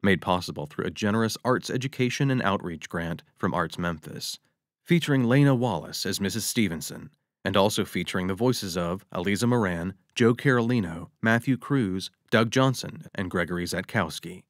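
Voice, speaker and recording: A man reads as a radio theater announcer. His voice is on the low side, recorded dry, with a scripted delivery suited to closing credits. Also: expressive, moderate pace, dry recording.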